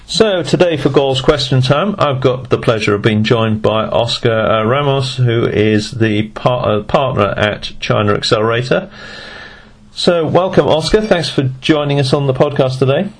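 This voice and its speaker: A man's voice: medium pitch, normal speed. A man hosting a podcast interview over a voice call app, rambling and often restarting his phrases. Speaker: podcast host.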